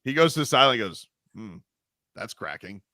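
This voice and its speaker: A man's voice: lowish voice, sing-song, a little fast, pushed and bright, dry recording, studio quality. A man hosting sports talk radio, rambling casually with lots of fillers and laughs. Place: radio studio. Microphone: studio mic.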